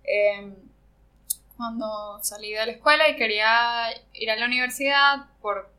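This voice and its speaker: Bright-voiced Venezuelan woman. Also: on the high side, unhurried. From Venezuela, Margarita Island. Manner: reflective, searching for words. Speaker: interview guest. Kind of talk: conversational interview.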